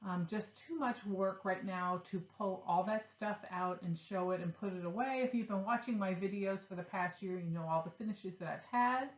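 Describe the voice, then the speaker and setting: A woman's voice: mid-range voice, normal speed, hardly any pauses. A woman with a stuffy, nasal voice from a cold, chatting casually to her viewers about her craft projects. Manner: chatty, upbeat, shows her stitching. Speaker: cross-stitch vlogger. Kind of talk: casual chat to camera. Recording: echoey room.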